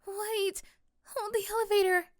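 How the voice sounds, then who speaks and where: A woman's voice: extremely high pitch, little pitch movement, a little slow, dry recording, studio quality. A woman playing a sweet, anxious young office worker and crush in an ASMR roleplay drama. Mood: urgent.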